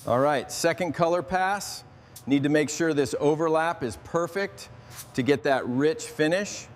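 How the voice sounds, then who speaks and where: A man's voice: fairly high pitch, unhurried, slight background noise. A man giving step-by-step commentary in short, muttered remarks as he restores a motorcycle. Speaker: motorcycle restorer narrating the work. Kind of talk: quiet step-by-step work commentary.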